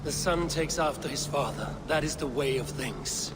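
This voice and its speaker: Frank, loyal Viking warrior, played by a man, slightly high male voice, earnest game-cutscene delivery. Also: moderate pace, natural voice. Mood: stern, earnest. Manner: earnest, blunt, teasing among friends. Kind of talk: video game cutscene acting. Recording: noisy game ambience behind dialogue.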